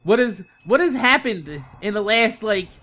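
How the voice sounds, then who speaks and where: Young american dude ranting to his youtube viewers, bright edgy voice, pitch goes all over, swears a ton. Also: measured pace. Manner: profane, exasperated, animated venting with emphatic repetition. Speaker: YouTube host. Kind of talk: casual storytime rant.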